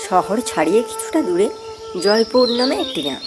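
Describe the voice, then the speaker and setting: A woman narrates a scripted children's story with lively rises and falls. Her pitch is average, and loud music plays beneath her. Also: a little fast.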